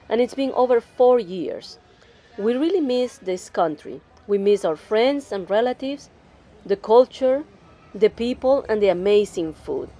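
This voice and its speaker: Higher-pitched, mellow woman narrating. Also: slight background noise. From Peru. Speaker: travel vlog host and narrator. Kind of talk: scripted travel narration.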